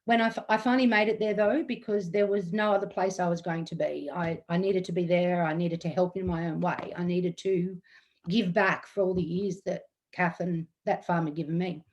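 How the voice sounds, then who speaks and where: A woman in her fifties from Australia, telling a personal story in a talk, earnest and close to tears, slightly croaky. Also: lowish voice, steady tone, normal speed. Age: 50s. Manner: earnest and emotional, with frequent ums. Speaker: guest storyteller.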